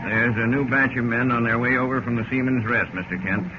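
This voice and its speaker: An actor playing a steady, businesslike sailing captain in an old radio drama. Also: male voice, mid-range voice, brisk, natural voice. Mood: reassuring. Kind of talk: 1940s radio drama acting. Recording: muffled, noisy old radio recording.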